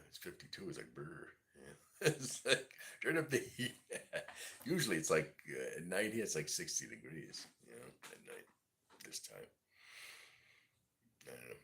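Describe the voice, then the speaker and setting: Casual livestream ramble, New York accent, deep and gravelly, swears a lot. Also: male voice, a bit bright, a little hiss or hum. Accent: New York City. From East New York, Brooklyn. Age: 40s. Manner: rambling, profane, full of "you know". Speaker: livestream host. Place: home in Mississippi.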